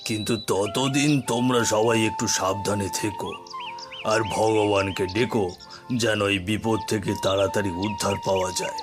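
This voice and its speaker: A man voicing a grave village headman who cautions in a measured, admonishing way, in a cartoon. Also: on the low side, fairly even intonation, music in the background, lightly acted. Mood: grave, warning.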